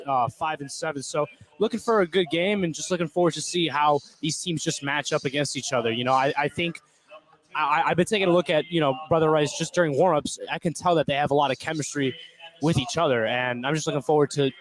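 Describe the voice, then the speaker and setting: A man with a slightly high voice shares casual thoughts as a basketball co-commentator. He talks conversationally, in loose hedged phrases, during live coverage. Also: fairly quick, low-quality recording. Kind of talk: casual live sports analysis. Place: courtside at a basketball tournament in a gym.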